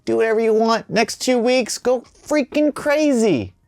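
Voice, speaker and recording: A man's voice: extremely high pitch, animated, a little slow, vocal fry, no echo, studio quality, soft background music. A man hosting video game news in an informal, wry commentary style.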